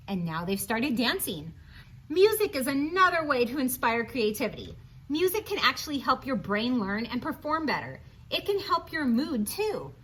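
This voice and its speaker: A woman with a high, very lively voice reads a storybook aloud for young children. Her intonation swings widely as she speaks straight to her listeners, posing questions and offering encouragement in asides. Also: slightly rough. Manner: animated, questioning, encouraging, addresses listeners directly. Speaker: children's read-aloud presenter.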